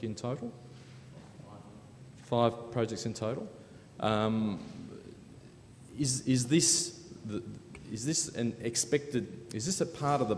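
Male moderator, slightly low pitch, informal questioning, faint noise behind. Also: darkish tone. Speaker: panel moderator. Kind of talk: casual questioning at a panel. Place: large hall panel event with audience. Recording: echoey hall, faint ambient noise.

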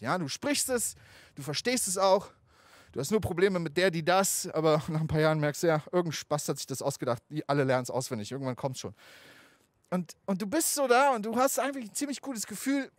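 A man in his thirties delivers a stand-up set into a handheld mic, out on a rooftop. His storytelling is animated and conversational, peppered with swearing. The sound is dry and close, with no room echo. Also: high voice. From Afghanistan, raised in Germany. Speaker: stand-up comedian. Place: outdoor rooftop stage.

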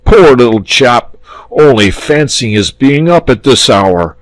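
A big, lazy storybook dragon, as a male narrator plays him, low-pitched and slow. He is jovial and very pleased with himself, talking in a chummy, pompous drawl. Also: animated, a bit bright, dry recording, exaggerated voice. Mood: blustering. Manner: drawling, pompous, chummy old-fashioned British phrasing. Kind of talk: storybook character voice.

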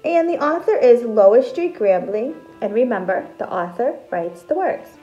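A woman in her thirties reading a picture book aloud to children like a teacher, expressive and deliberate. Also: fairly high pitch, dull audio, soft background music. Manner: animated, deliberate, explains terms simply. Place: small decorated room at home, indoors.

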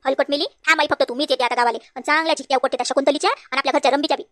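A woman's voice: high voice, steady tone, very fast, a bit bright. A woman voicing a bossy married daughter in a comedy cartoon, scolding in rural Varhadi Marathi from Vidarbha. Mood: indignant. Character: bossy married daughter, fussing over her parents, quick to scold.

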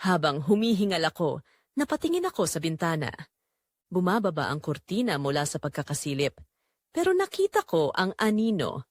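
A woman's voice: lowish voice, big pitch swings, moderate pace, no echo, clean studio sound. A woman narrating a scripted first-person audio drama in short, dramatic, suggestive phrases.

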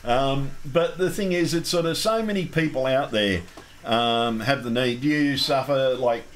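Australian man, call-in show host, mid-pitched, chatty and rambling, faint hiss behind. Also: a little slow. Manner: rambling, chatty, frequent ums and restarts. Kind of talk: casual call-in chat.

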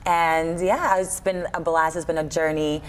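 A woman in her thirties speaks as a guest on a daytime TV show. Her voice sits a little low. She talks casually and with animation, in an informal, conversational way, close-miked in a studio. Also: fairly even intonation, a little slow, slight background noise, low-quality recording. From Trinidad and Tobago and Buffalo, USA. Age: 30s. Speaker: guest, limbo performer. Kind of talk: casual TV interview. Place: TV studio kitchen set, indoors. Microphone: lapel mic.

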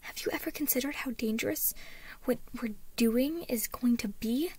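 A woman's voice: a little high, normal speed, a little mellow, slightly rough, no room sound. A woman narrator voicing a blunt, wary, foul-mouthed woman, reluctantly protective, speaking in terse, urgent, half-whispered lines. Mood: tense, grave.

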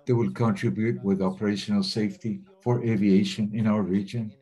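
A man giving a simultaneous interpretation of webinar talks, speaking in a steady, measured way with occasional hesitations. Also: lowish voice, slightly rough, rough recording. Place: remote webinar.

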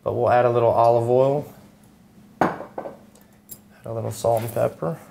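A man's voice: medium pitch, steady tone, normal speed, vocal fry, mellow, slight background noise. A man in his thirties, a chef, casually explaining his food as a guest in a cooking demonstration. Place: indoor studio kitchen. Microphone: lapel mic.

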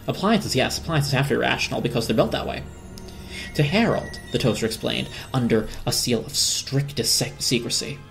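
Very low-pitched, animated woman narrator. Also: rough recording. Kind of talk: dramatic storybook narration.

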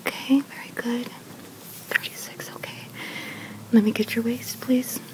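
A woman whispering softly and breathily in an ASMR roleplay as a shop consultant, in unhurried short phrases with hesitant pauses. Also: on the high side, fairly even intonation, rough recording. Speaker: ASMR creator playing a bridal boutique consultant.

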